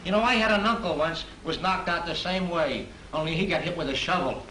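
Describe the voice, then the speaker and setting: An actor playing a chatty, dim comic sidekick who rambles on in 1930s film style. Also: male voice, fairly high pitch, fairly quick, pushed and bright. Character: chatty, dim comic sidekick man telling silly tales. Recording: echoey hissy 1930s film soundtrack.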